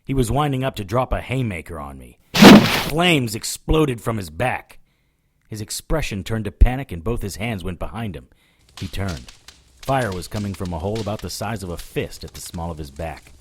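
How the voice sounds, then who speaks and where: Hardboiled male investigator, actor in role, lowish register, dry wit, deadpan first-person storytelling for audio drama. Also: moderate pace, background noise, lightly acted. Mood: hardboiled narrating. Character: tough wry male investigator, narrating his own brawls and adventures. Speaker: lead actor and narrator.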